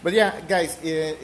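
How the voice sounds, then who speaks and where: Slow-talking man with a Mexican accent. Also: on the high side, a little hiss or hum. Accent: Mexican Spanish. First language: Spanish. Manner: rambling, with frequent fillers and coughs. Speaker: gaming streamer. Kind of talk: casual gameplay commentary.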